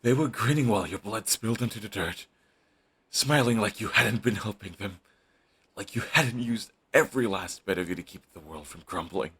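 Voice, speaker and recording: A man's voice: on the low side, moderate pace, a bit bright, husky, exaggerated voice. A young man playing a bitter, fiercely devoted male villain in an audio roleplay, talking in an intense, raw way and swearing often. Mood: furious.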